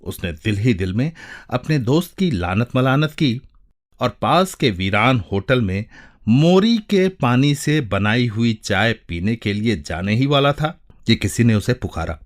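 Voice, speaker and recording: A man narrating a literary story in a dramatic, expressive way, measured and pausing between phrases. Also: mid-range voice.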